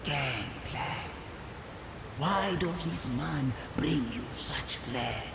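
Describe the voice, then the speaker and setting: A man voicing a solemn ancient ancestral spirit in a video game, grave and measured in delivery. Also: fairly high pitch, creaky, background noise, heavily acted. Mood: solemn concern. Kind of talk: dramatic video game voice acting. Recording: echoing voice in loud ghostly ambience.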